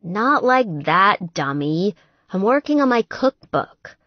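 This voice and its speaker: A brash, tipsy gal pal, played by a woman narrator, loyal and blunt, with a slightly slurred, emphatic delivery. Her pitch moves around a lot, and the sound is close and dry, if a bit dull. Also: big pitch swings, slow pace, dry recording. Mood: eager. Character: tipsy, blunt, loyal female best friend. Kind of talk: audiobook character dialogue.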